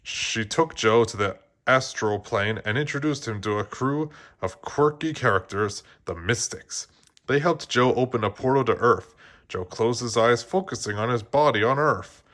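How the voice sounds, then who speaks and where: A man's voice: mid-range voice, moderate pace, fairly bright tone. A man reading a children's storybook aloud as narrator, often stumbling and restarting his phrases. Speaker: storybook reader.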